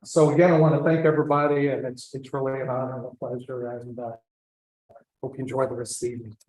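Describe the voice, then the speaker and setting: A man thanking the crowd at an awards dinner and urging them to mentor others. He speaks in an earnest, plain way, with a voice of middle pitch. The sound rings out in a large, echoey hall over the speakers. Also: little pitch movement, fast talker, darkish tone. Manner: earnest, with small fillers. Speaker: award honoree giving thanks. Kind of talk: acceptance speech.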